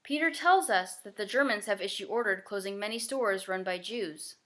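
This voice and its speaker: A woman's voice: mid-range voice, a bit bright, natural voice. Woman reading as a solemn, loving father. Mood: grave. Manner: solemn, measured. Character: grave, loving father of a young girl. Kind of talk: children's novel read-aloud.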